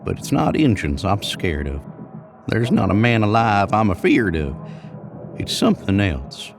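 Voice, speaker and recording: A man's voice: fairly low pitch, very animated, over music, pitched above the performer's own voice. Man voicing a craggy drifter cowboy spinning sly tales. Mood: ominous. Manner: folksy frontier drawl, drawn-out storytelling. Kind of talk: Western audio storybook character.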